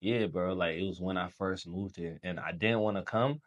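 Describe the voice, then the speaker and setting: A young man from Haiti, a Creole speaker, telling his story as a podcast guest in relaxed slangy chat. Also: deep voice, moderate pace, highs cut off. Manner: relaxed storytelling, slangy, says bro often. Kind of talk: casual chat.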